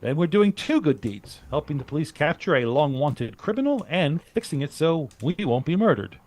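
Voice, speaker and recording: An actor playing a cool, shrewd adventurer who leads a team of bodyguards in a vintage radio drama, decisive and wry. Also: male voice, fairly high pitch, big pitch swings, moderate pace, darkish tone. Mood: commanding.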